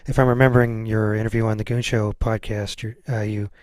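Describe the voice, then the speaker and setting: A man's voice: lowish voice, flat delivery, fairly quick, a little mellow, no echo, studio quality. A man hosting a podcast in a casual, conversational interview style. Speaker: podcast host.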